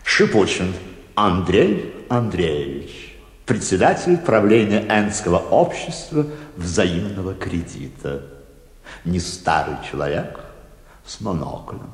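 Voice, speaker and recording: Low voiced guy announcing a radio drama, slow, echoey room. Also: very animated. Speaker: announcer of the cast of characters. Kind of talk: scripted theatrical announcing for a radio play. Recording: echoey, reverberant hall.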